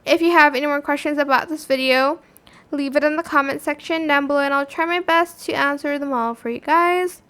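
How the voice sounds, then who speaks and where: High-pitched woman giving a casual tutorial. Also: fairly quick, a bit bright. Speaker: tutorial video host. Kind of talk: casual how-to tutorial narration.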